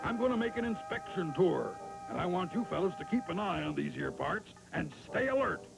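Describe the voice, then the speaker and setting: Casting: folksy American cartoon bear boss, heavy gravel, raised pitch, homespun and steady, over loud music. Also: male voice, brisk, heavily acted, low-quality recording. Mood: calm authority. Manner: drawling, homespun, unflappable. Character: easygoing, folksy bear forest ranger in charge. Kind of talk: 1960s TV cartoon acting.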